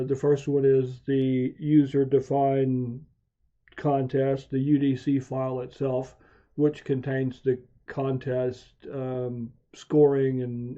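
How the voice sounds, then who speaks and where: A man narrating a software tutorial as a hobbyist, explaining things in a methodical, measured way. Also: medium pitch. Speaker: amateur radio hobbyist hosting video tutorials. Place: home radio shack. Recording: dull, muffled lo-fi voice track.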